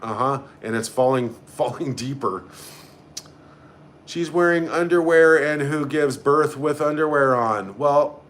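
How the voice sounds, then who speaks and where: A man's voice: fairly high pitch, unhurried, a bit bright, slight background noise. A man hosting a casual live stream, reading out comments and reacting in a blunt, sarcastic, sweary way. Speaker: livestream host.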